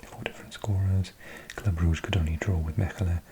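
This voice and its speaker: A Welsh man in his forties, whispering softly as an ASMR host, slowly reading out a list of results with chatty asides. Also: sits low, steady tone, a little hiss or hum. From Wales.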